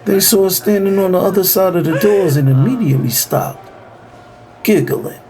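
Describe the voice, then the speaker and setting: An actor playing a grown son who narrates family troubles in a measured, matter-of-fact way for an audio drama. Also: male voice, high-pitched, warm tone. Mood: tense, reflective. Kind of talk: first-person dramatized story narration.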